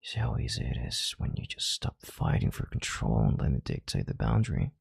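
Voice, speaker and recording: A man playing a calm, domineering CEO in an intimate audio roleplay, with a deep, gravelly, breathy voice and a measured, condescending yet tender manner. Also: no echo, studio quality, heavily acted. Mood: soothing, possessive. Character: calm, domineering male CEO soothing a panicked partner.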